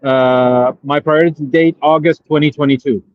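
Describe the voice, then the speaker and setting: A man from Syria calling in to a show, telling his case in a halting way, with many pauses and fillers. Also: a little high, steady tone, dry recording, narrow, tinny audio. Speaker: caller. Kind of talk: phone-in question.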